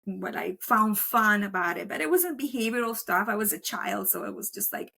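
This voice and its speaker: Brief: Colombian-accented woman guest, chatty and loose, slight vocal fry. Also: medium pitch, animated, moderate pace, bright, forceful tone. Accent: Colombian Spanish. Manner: chatty, rambling, many "like" fillers. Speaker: guest, veterinary technician and instructor. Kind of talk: casual interview chat. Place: home setup, remote recording. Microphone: video call.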